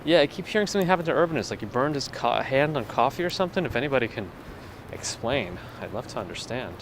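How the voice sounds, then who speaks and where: New guy chatting to his stream while walking, mid-pitch voice, phone mic, clear street noise all around. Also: fast talker. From New York. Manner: relaxed, chats with viewers while walking. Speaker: walking tour live streamer. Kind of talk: casual live-stream narration. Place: outdoors on a city street in winter. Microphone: gimbal-mounted phone mic. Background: street and traffic noise.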